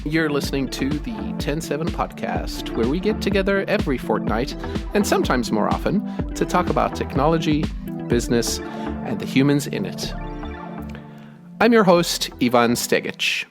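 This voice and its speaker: A man's voice: on the high side, very animated, normal speed, loud music behind the voice. A man hosting a podcast, asking friendly, curious questions and often chuckling. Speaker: podcast host. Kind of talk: conversational interview.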